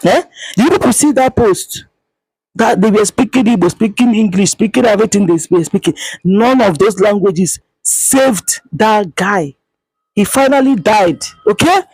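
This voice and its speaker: A woman with a Nigerian accent delivers a heated monologue to her viewers, hammering her points home through repetition. Her voice is breathy and grainy, and she holds it low and quiet because she is outside. The recording is close and dry, with little room sound. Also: lively intonation, normal speed, dry recording, low-quality recording. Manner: emphatic, repetitive, scolding; speaking quietly. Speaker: vlogger. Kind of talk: impassioned monologue to camera. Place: outdoors.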